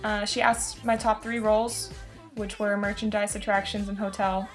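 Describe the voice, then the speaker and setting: A woman's voice: medium pitch, monotone, moderate pace. Chatty young woman, rambling casually over music. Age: around 20. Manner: informal, rambling, self-interrupting. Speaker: student vlogger. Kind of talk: casual chat to camera recounting an experience.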